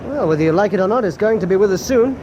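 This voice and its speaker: An actor playing a breezy ship's navigating officer in a radio war drama, speaking informally. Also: male voice, high voice, lively intonation, rapid-fire, highs cut off. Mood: breezy, insistent. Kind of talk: full-cast radio drama acting. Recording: noisy ship ambience.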